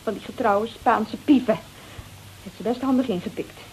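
A woman's voice: fairly high pitch, normal speed, darkish tone, slight background noise, natural voice, rough recording. An actress in a radio play, playing a brash, coarse-tongued married woman confessing under threat in hesitant, colloquial speech. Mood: chatty, confiding. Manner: hesitant, colloquial, peppered with little tags. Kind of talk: Dutch radio play dialogue.

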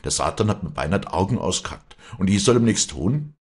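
A man's voice: low voice, lively intonation, normal speed. A man playing a brash, stubborn, commanding hunter with a Bavarian-Austrian Alpine accent, curt and challenging, in an audiobook. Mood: bitter threat. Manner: curt, challenging, gruff dialect. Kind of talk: dramatic audiobook character dialogue.

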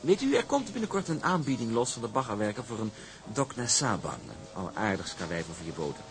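A man playing a smug young shipping heir in a radio drama, speaking with formal, oily politeness and measured hesitations. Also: lowish voice, sing-song, over music. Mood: affably condescending. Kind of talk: Dutch radio drama acting.

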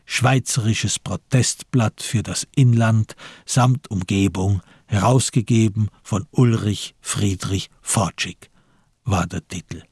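A man giving a deliberate, solemn scripted literary narration for a radio drama. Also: on the low side, breathy edge, no room sound, studio quality.

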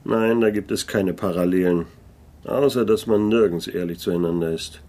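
A private detective in a German radio drama, his voice on the low side. He sounds sceptical and sardonic, keeping a dry, wry, guarded manner throughout. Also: normal speed, warm tone.

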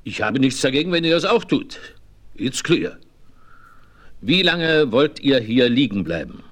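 A man's voice: medium pitch, sing-song, unhurried. An actor playing a proud, blustery frontiersman over ninety in a western radio play, blunt and colloquial. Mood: boisterous.

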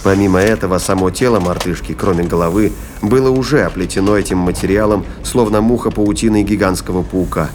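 A male storyteller with a low voice narrates a scripted sci-fi drama. His delivery is measured and descriptive, and music plays faintly beneath it. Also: darkish tone.

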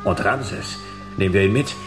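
A man playing a cool-headed private detective in a German radio drama, brisk and matter-of-fact. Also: fairly low pitch, close to an everyday voice. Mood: businesslike. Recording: echoey long reverb, loud music.

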